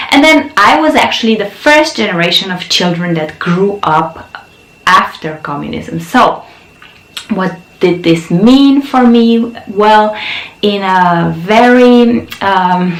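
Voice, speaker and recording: A woman's voice: lowish voice, reverberant, low-quality recording. A woman in her thirties, Romanian-born with Romanian as her first language, hosting her own channel and telling her life story in a frank, chatty way. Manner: frank, chatty, with many fillers and laughs. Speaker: yoga channel host. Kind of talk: personal storytelling to camera.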